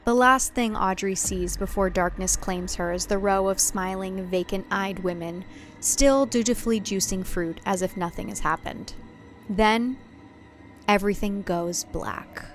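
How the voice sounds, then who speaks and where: A woman's voice: mid-range voice, unhurried, faint music behind, low-quality recording. A woman reading scripted thriller fiction as a narrator, in a dramatic storytelling style.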